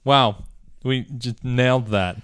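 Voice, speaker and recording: A man's voice: medium pitch, slow, fairly bright tone, clear, resonant tone, no echo, clean studio sound. A man casually quizzing a friend on comic character names, playful and laughing along. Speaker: quiz host. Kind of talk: casual chat.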